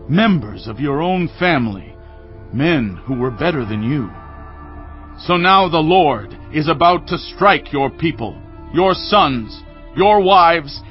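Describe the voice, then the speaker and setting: A man voicing a stern male prophet who delivers a letter of doom in a forceful, accusing way, in a dramatized scripture reading. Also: a little high. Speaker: voice actor in an audio Bible drama. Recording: dull lo-fi audio with faint music.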